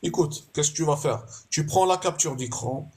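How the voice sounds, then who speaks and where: A man with a somewhat raised pitch, hesitant and stammering in a heated online debate. He fills his gaps with fillers. He is heard over a voice call. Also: normal speed, a little mellow, husky.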